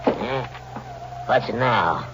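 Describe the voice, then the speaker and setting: A man's voice: medium pitch, expressive, extremely slow, music in the background. An actor playing a cranky, dismissive old man, grumbling and curt, in 1940s radio style. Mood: grumpy. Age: older. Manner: grumbling, curt, repetitive "yeah". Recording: dull, noisy old radio recording.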